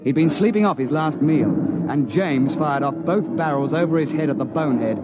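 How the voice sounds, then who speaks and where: A man's voice: fairly high pitch, normal speed, natural voice. An actor playing a seasoned British big-game hunter who narrates his adventure in clipped, old-fashioned phrases in a 1950s radio drama. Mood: gripping narration. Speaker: narrator and lead character. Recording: vintage 1950s radio broadcast with music.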